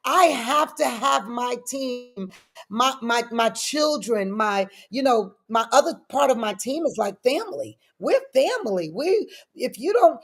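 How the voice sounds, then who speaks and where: A middle-aged woman giving animated, personal answers as an interview guest, speaking emphatically and repeating phrases to drive them home. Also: on the high side, measured pace, breathy edge. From born in Frankfurt, Germany; lived in New York City. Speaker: guest, daycare business owner.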